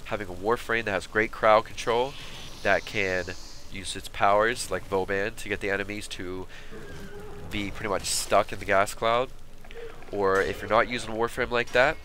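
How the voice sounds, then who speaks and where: A man with a stuffed-up cold, giving relaxed, chatty commentary over game footage for a weapon build video. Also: on the low side, slight background noise. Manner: relaxed, chatty, with fillers and quick asides. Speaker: gaming YouTuber. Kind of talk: casual gameplay commentary.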